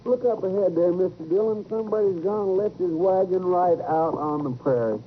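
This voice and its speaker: A man's voice: sits high, normal speed, lightly acted. A man acting a folksy, deferential marshal's sidekick with a rural American drawl in a 1950s radio western. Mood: concerned, puzzled. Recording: tinny, hissy 1950s radio recording.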